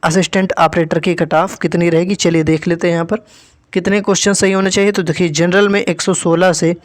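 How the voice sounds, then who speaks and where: High-pitched man explaining to students. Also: flat delivery, a little fast. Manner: repetitive, instructive, with frequent check-in tags. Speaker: exam-prep YouTube presenter. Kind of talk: informal explanatory update to students.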